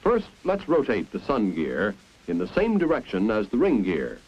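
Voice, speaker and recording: An American man reading scripted 1950s instructional film narration in a clear, deliberate, explanatory manner. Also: fairly low pitch, huge pitch swings, a little mellow. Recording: dull, band-limited 1950s film soundtrack.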